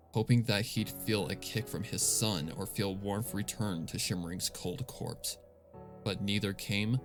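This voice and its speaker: A man narrating a dark story in a somber, dramatic reading style, with music playing underneath. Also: on the low side, vocal fry. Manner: somber, grave. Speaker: narrator, fan fiction reader.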